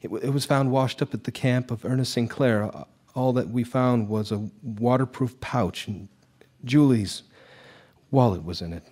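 Nervous, hesitant townsman played by a male actor, rough-edged husky voice, stammering delivery, audio drama. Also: mid-range voice, a little mellow, natural voice. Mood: uneasy, sorrowful. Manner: stammering, halting. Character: nervous, hesitant small-town man, anxious to placate. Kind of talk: live audio drama acting.

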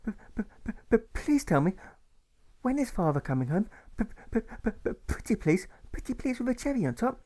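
A man acts out a timid little boy in an audiobook, high and small. He stutters anxiously and pleads, repeating his words. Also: very animated, moderate pace, warm tone. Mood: anxious, pleading.

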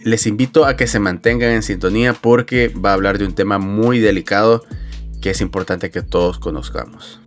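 A man's voice: on the low side, moderate pace. A man from El Salvador with a Salvadoran accent, hosting a sports news commentary show and addressing viewers. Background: music.

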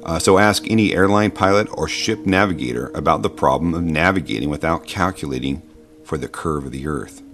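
A man giving an informal explanatory voice-over, conversational and halting, patiently reasoning through his points. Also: very deep voice, fairly bright tone, soft background music. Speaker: video narrator explaining science.

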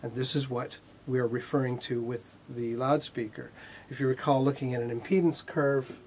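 A man in his fifties giving a technical lecture in a measured, explanatory way with pauses mid-sentence, his voice slightly husky. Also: mid-range voice, steady tone, a little mellow, slight background noise, low-quality recording. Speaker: instructor, R&D manager. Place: indoor video studio with whiteboard.

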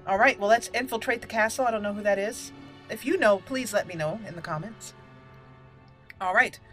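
A woman's voice: mid-range voice, fairly quick, fairly bright tone, faint music behind. A woman doing casual, chatty let's play commentary with some roleplay, playful in manner. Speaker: gaming video host.

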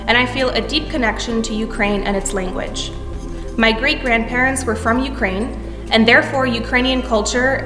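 A woman from the United States, a volunteer English instructor, reciting a scripted greeting to camera in a gallery hall. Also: fairly high pitch. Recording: echoey hall with music behind.

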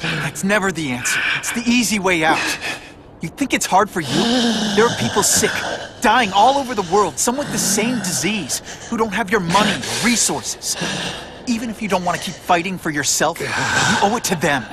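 High-voiced, earnest young superhero. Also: male voice, lively intonation, moderate pace, heavy background noise. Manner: earnest, wry, urgent. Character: earnest, principled young male superhero, wisecracking. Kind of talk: video game dramatic acting.

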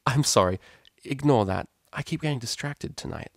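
A man's voice: mid-range voice, expressive, normal speed, gravelly, dry recording, pitched above the performer's own voice. Guy doing a proper awkward girl pony cellist, storybook, polite n hesitant, dry audio.

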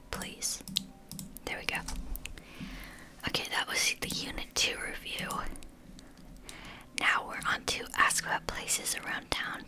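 A woman, whispering casually through language-app practice, halting and swearing now and then. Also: pushed and bright. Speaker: language learner.

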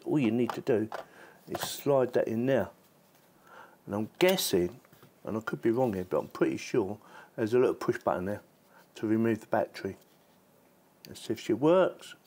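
Bloke doing a casual gadget review, mid pitched voice, chatty and informal, repeats himself a bit. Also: male voice, darkish tone. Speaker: product reviewer. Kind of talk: casual hands-on gadget demonstration.

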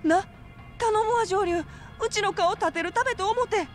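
A woman's voice: extremely high pitch, normal speed, soft background music. A woman voicing a brash, coaxing female fight promoter with a Kansai accent in a video game. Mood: sheepish pleading. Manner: animated, coaxing, emphatic. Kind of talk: video game cutscene acting.

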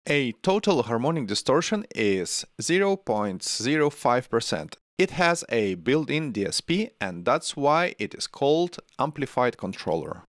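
A man in his forties presenting scripted tech narration in a measured, deliberate way, close on a studio microphone. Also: medium pitch, dry recording. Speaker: tech channel host. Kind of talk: scripted narration, product review. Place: small home studio room, indoors. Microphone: close broadcast microphone on arm.

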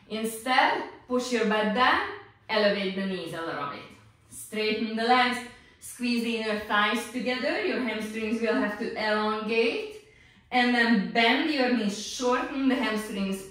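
A woman's voice: on the high side, fairly bright tone. A middle-aged woman working as a Pilates instructor, giving encouraging step-by-step exercise cues. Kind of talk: exercise instruction, demonstrating moves. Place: small indoor home-style exercise studio. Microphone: distant camera microphone. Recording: echoey room, distant microphone.

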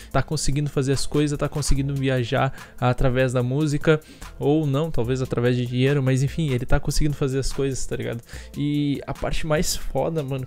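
A man's voice: medium pitch, darkish tone. A man in his twenties with a Brazilian accent, reviewing music in slangy, informal speech into a close microphone at home. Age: 20s. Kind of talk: casual commentary. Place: small home bedroom studio. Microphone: close desk-mounted condenser microphone.

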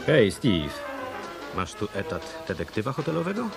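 Low-voiced, bossy hotel manager. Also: male voice, huge pitch swings, moderate pace, gravelly, music in the background, exaggerated voice. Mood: bossy, exasperated. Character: bossy, exasperated hotel manager giving orders. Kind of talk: radio drama acting.

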